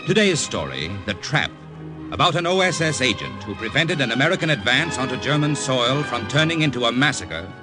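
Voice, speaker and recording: A man announcing a radio drama in formal, measured 1940s style. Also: mid-range voice. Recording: old radio broadcast with clear music behind.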